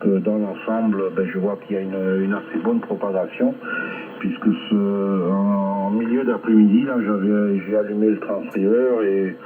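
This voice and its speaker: A man chatting casually as an amateur radio operator over a shortwave link, rambling hesitantly with long filled pauses. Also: on the low side, narrow, tinny audio. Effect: shortwave ham radio transmission. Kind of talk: casual ham radio contact chat. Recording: band-limited shortwave radio reception.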